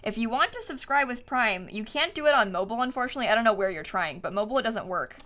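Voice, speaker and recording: A woman's voice: a little high, expressive, quick pace, pushed and bright. A young woman live game streamer chatting casually and informally with her viewers, with a giggly manner. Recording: music playing behind. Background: music, typing.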